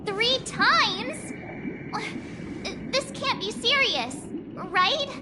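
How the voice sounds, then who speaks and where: A woman voicing an earnest, perky teenage girl in a video game, expressive and openly emotional. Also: extremely high pitch, very slow, very noisy, exaggerated voice. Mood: worried, shocked. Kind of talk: scripted video game voice acting. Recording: very loud background music.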